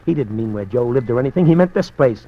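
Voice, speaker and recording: A no-nonsense detective, firm and clipped, mid-pitched, dull vintage radio sound. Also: male voice, animated, fast talker. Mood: coaching. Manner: firm, clipped, calls others kid. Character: tough, steady male police detective. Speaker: police detective. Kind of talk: 1940s radio acting. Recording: dull old radio broadcast.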